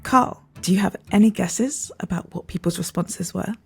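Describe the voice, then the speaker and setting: A woman's voice: on the low side, moderate pace, a little mellow, soft background music. A woman co-hosting a podcast, reading an introduction and then putting questions to a guest, hesitant when improvising. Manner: reads smoothly, hesitant when asking questions. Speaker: podcast co-host.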